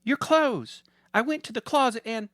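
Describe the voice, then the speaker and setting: Man putting on a high-pitched, anxious wife and mother. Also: normal speed, fairly bright tone, no room sound, clean studio sound. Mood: accusing. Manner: emotional, pleading.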